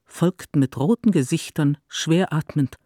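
Dry, higher-pitched male narrator. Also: measured pace, mellow, dry recording. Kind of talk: scripted literary narration for radio drama.

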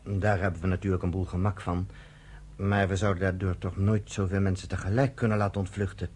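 A man's voice: sits low, level tone, brisk, darkish tone, a little hiss or hum, close to an everyday voice. A man playing an earnest young camp resistance organizer in his twenties, matter-of-fact, in a radio play. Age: 20s.

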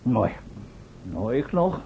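An actor playing a brisk, commanding professor and inventor in an old Dutch radio play, lecturing and impatient. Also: male voice, big pitch swings. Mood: businesslike. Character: brisk, commanding older male professor, eager inventor of time machine. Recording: dull, noisy old radio recording with rumble.

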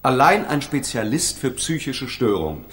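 Earnest, formal defence lawyer. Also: male voice, medium pitch, big pitch swings, fairly bright tone. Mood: earnest, insistent. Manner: formal, measured, insistent. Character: earnest, insistent male defence lawyer in court. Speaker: actor in radio crime drama. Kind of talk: German radio play acting.

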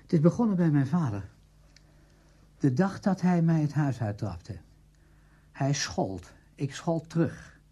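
A man's voice: a little high, expressive, unhurried, pauses often, mellow, husky, muffled recording. A man acting in a radio play as a cynical, self-justifying friend, glib and emphatic. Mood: defiant, relishing.

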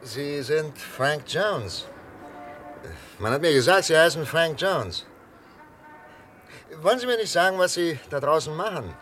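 A man playing a calm, coaxing psychiatrist in a 1960s German radio thriller, talking in a hesitant, conversational way. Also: on the high side, unhurried, pauses often, faint music behind. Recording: 1963 radio recording, faint noise.

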